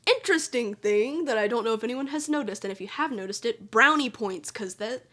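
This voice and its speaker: A woman's voice: fairly high pitch, lively intonation, a little fast, pushed and bright. A young woman chatting casually while she draws, rambling and restarting her sentences, with a somewhat nasal voice. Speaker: channel host. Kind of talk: casual chat while drawing.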